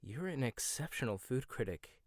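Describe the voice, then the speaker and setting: A young man voicing a proud, teasing male chef in a boyfriend roleplay, talking softly and stammering when flustered. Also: medium pitch, animated, normal speed, no echo, studio quality, lightly acted. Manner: soft-spoken, teasing, gets flustered and stammers. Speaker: ASMR roleplay voice actor. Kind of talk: boyfriend ASMR roleplay, one-sided dialogue.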